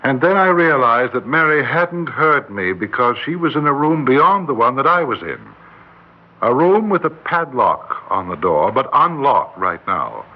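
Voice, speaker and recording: A man's voice: mid-range voice, very animated, measured pace. An earnest male schoolteacher, suspicious and insistent, with widely swinging intonation. He speaks in old-time radio drama style. The recording is dull, with a light layer of noise. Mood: measured, recounting.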